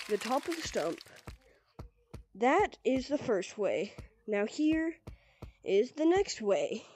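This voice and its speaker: A young woman talks slowly through a VR game tutorial on a headset mic, her voice stuffy from a cold. Her tone is mellow and a little high, and she rambles loosely with lots of "pretty much" and "like.". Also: no echo. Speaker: VR gaming tutorial creator. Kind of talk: casual game tutorial narration.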